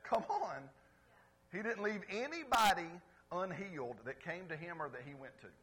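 Male pastor, fairly high, bright and husky; animated sermon, calls on the congregation. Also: moderate pace, poor audio. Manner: swings between loud exclamations and quiet asides. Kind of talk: lively conversational sermon. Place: church service before a congregation.

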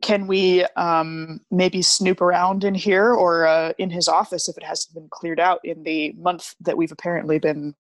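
A woman's voice: lowish voice, level tone, normal speed, few pauses. A woman playing a tabletop game, improvising dialogue in a polite, hesitant, rather formal way.

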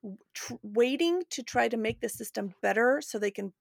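Female podcast host, slightly high pitch with marked vocal fry, informal and candid, recorded dry. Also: lively intonation, moderate pace, no echo. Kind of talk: casual conversational interview.

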